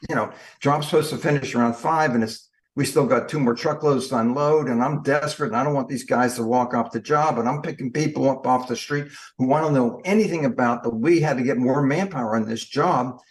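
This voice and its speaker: Older guy, mid-pitch voice, telling stories with lots of punch. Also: a little fast, hardly any pauses. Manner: lively anecdotal, emphatic. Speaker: guest, industry trainer. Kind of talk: storytelling in an interview.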